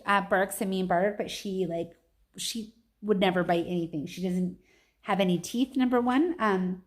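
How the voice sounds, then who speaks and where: A woman reading a children's novel aloud at home like a teacher, with informal asides to her listeners. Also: fairly low pitch.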